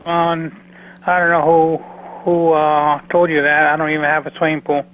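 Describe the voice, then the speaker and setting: A man answering a phone call, speaking haltingly and insistently repeating his questions. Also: a little high, completely flat delivery. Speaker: prank call recipient. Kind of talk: phone conversation. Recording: noisy narrowband phone line with hum.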